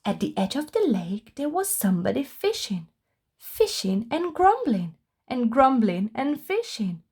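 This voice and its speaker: A woman reading a children's storybook aloud with expressive, deliberate narration for young listeners. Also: fairly high pitch, breathy edge.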